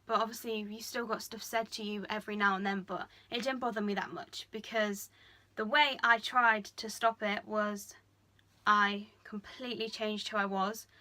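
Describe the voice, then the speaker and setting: Bright-voiced young woman vlogging. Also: level tone. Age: young adult. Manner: chatty and frank, many fillers like "um" and "like"; deliberately speaking lower than usual. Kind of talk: candid personal confession.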